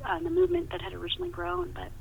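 High creaky lady voice, chatty storytelling in an interview, muffled hissy phone sound. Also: racing. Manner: animated, emphatic, repeats words for emphasis. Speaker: novelist, podcast guest. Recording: muffled phone line with hiss.

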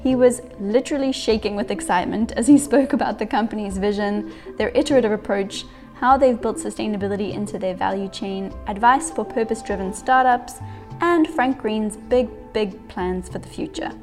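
A woman co-host reading a measured, scripted podcast introduction. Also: medium pitch, darkish tone, faint music behind.

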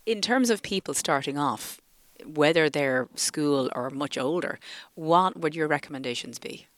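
A man co-hosts a live radio chat in a conversational, reflective way. His voice sits somewhat high for a man, and he speaks at an even, unhurried pace. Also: dry recording. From Ireland. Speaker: radio co-host.

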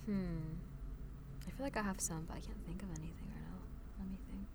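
Young woman, from France, low voice, casual podcast storytelling, filler-heavy. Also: steady tone, brisk, gravelly, warm tone, a little hiss or hum. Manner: chatty, lots of "like" fillers. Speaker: podcast guest.

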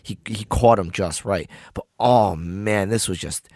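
A man with a raspy voice co-hosting a podcast, chatting casually with a laid-back, dry way of talking. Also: on the low side, measured pace, darkish tone, dry recording. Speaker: podcast co-host. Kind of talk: casual chat.

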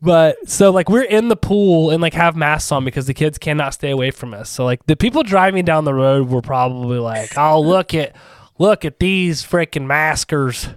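A young man from Ohio co-hosting a podcast, telling a story in casual chat, animated and profane, a bit nasal and recovering from a sore throat. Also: a little high, moderate pace, clear, resonant tone, no room sound. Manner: profane, animated storyteller, calls everyone dude. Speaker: podcast co-host.

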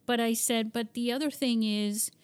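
A woman's voice: a little high, fairly even intonation, moderate pace, clear, resonant tone. A woman from Spain hosting an interview, rambling with many fillers and restarts. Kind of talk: conversational interview.

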